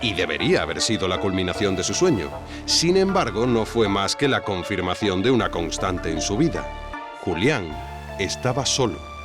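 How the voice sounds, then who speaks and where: A man's voice: sits low, very animated, normal speed, over music. A man narrating a scripted documentary-style story, wryly addressing the listener directly. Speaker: narrator and host.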